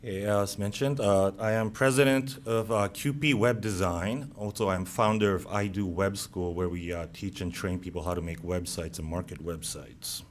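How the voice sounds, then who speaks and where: A man in his thirties from Japan, a native Japanese speaker with a Japanese accent, giving a seminar presentation in a halting way with many "uh" fillers. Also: deep voice, steady tone, a little hiss or hum. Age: 30s. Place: seminar room.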